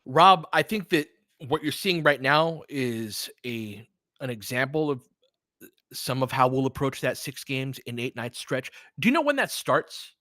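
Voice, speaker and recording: A man with a bright, forceful voice hosts casual live sports commentary. He talks conversationally, as if straight to his listeners, moving between play-by-play and analysis. The sound is close and dry. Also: mid-range voice, sing-song, measured pace, no echo. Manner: conversational, chatting back to listeners, mildly profane.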